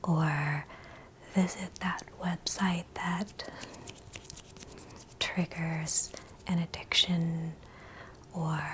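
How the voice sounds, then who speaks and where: Very slow whispering woman, ASMR meditation. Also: low voice, slight background noise, low-quality recording. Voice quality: breathy whisper. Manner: gentle, soothing, with long pauses between short phrases. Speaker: ASMR host and meditation guide. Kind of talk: whispered ASMR guided meditation, read from a script.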